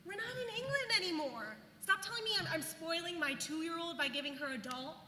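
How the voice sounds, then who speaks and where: A woman's voice: extremely high pitch, lively intonation, brisk, a crowd in the background. A woman acting a chatty, fed-up colonial young mother in a staged play reading, gossipy and sarcastic in modern casual speech. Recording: echoey hall, faint noise.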